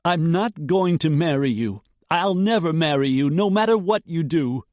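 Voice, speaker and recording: A man voicing a distraught young woman who cries out defiantly, in a higher, raised voice. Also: lively intonation, moderate pace, darkish tone, no echo, studio quality, lightly acted. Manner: shrill, cries out at a distance.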